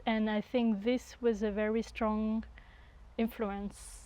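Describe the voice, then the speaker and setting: Woman, from France, 30s, sound artist guest, unhurried, thoughtful pauses, slightly high voice. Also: level tone, mellow. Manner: unhurried, searching for words with frequent fillers. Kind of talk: casual conversation.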